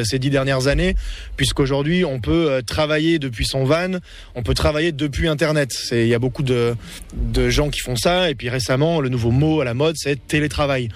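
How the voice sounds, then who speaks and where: Man giving measured, reflective answers. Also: fairly high pitch. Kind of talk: explanatory interview answers.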